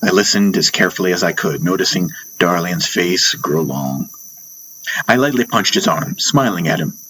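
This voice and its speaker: A man narrating a first-person fantasy audiobook in deliberate, dramatic short phrases. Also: sits low, animated, sharp tone, slight background noise, poor audio. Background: music.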